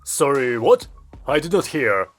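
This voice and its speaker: A composed Soviet commander acted out by a male narrator who speaks in curt orders. He is stern and authoritative, pitched below the storytelling voice. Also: very animated, unhurried, soft background music.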